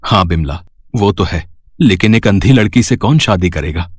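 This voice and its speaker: Man playing a husky, worried father. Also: low voice, lively intonation, moderate pace, no echo, studio quality. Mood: worried, resolute. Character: worried, devoted father of a blind daughter. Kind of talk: dramatized moral storytelling.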